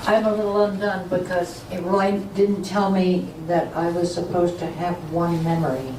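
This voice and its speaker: An older woman recalling old family summers in a slow, fond way. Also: on the low side, fairly even intonation, darkish tone. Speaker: speaker sharing memories. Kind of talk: oral history reminiscence. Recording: roomy hall from far off, faint noise.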